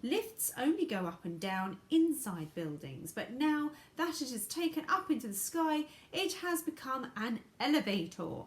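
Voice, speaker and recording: A woman voicing a brisk, supremely confident, eccentric gentleman inventor from a storybook, with an emphatic, declamatory manner. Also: sits high, big pitch swings, echoey room, voice pushed up. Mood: pompous.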